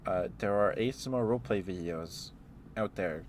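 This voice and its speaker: A man's voice: on the low side, normal speed, a little hiss or hum. A man doing a casual ASMR ramble as a livestreamer, playfully musing aloud and letting thoughts trail off.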